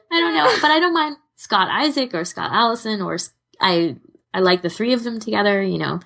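A woman's voice: mid-range voice, expressive, normal speed, vocal fry, fairly bright tone. A woman co-hosting a podcast in casual fan chat, rambling a little and correcting herself as she goes. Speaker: podcast co-host.

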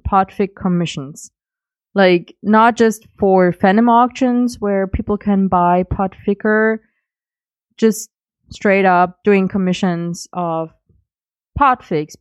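A woman's voice: lowish voice, no echo, muffled recording. A woman giving casual spoken comments as a podcast contributor.

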